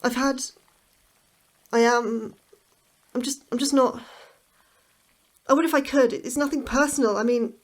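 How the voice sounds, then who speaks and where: A woman's voice: sits high, fairly even intonation, unhurried, long pauses. A woman acting an anxious, prickly astrobiologist in a naturalistic audio drama, hesitant, blunt and defensive. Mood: apologetic, flustered.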